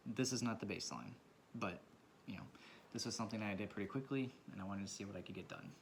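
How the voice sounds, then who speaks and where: A man with a naturally low, deep voice talks softly and unhurriedly, drifting from thought to thought as he sketches. The sound comes from the camera's own microphone, with a faint hiss underneath. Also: fast, gravelly. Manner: speaks quietly, rambling and reflective. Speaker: vlogger. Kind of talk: casual talk while drawing. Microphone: camera mic from a propped camera.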